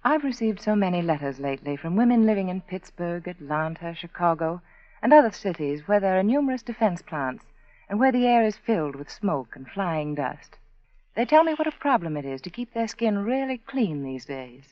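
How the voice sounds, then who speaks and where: A woman giving an earnest, intimate sales pitch as the sponsor's spokeswoman in a 1940s radio commercial. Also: sing-song, moderate pace, no echo. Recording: dry, dull 1940s radio recording.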